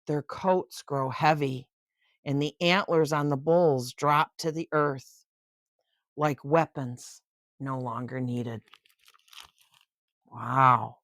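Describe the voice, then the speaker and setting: Older woman, low-pitched voice, very slow storybook read-aloud, expressive, chatty asides, close dry sound. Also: dry recording. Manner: expressive, unhurried, pausing to marvel at the pictures. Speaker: storyteller reading a picture book to viewers.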